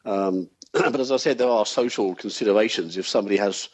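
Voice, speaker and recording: Low-voiced man, wry and discursive. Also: brisk. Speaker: interviewed UFO sceptic. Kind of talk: casual interview chat.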